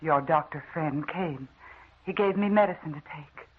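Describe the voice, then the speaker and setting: An actress playing a haunted, weakening wife in a melodramatic 1940s radio drama monologue, anguished and confessional. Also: female voice, low-pitched, normal speed, narrow, tinny audio, natural voice. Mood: fearful resignation. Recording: thin, hissy old radio recording.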